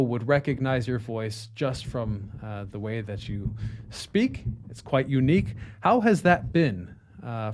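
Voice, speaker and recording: A man hosting a podcast interview, asking questions in a friendly, joking way. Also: medium pitch. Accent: non-native speaker of Polish, foreign. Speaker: podcast host.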